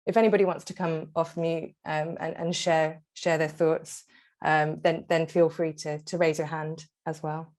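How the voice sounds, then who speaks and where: A woman's voice: deep voice, level tone, moderate pace. A woman hosting an online webinar on a video call, inviting people to speak and stumbling through repeats and fillers. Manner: warm encouraging tone, many fillers and repeats. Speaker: webinar host. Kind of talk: facilitating an online discussion.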